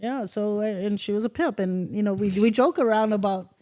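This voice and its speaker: A middle-aged woman from the Philippines, a guest chatting casually on a podcast, who tells animated stories, acts out quotes and swears freely. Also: mid-range voice, fairly quick. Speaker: guest, host's mother.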